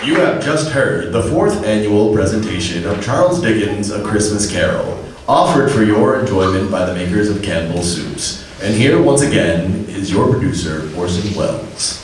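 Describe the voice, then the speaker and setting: A man's voice: on the low side, animated, normal speed. A man announcing the end of a radio program in a formal manner. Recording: noisy, echoey, far from the mic.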